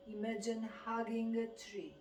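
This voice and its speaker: A woman from Romania with a Romanian accent, a fitness instructor guiding a qigong class with calm cues timed to the breath. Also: on the high side, measured pace, soft background music. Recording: roomy sound from a distant mic.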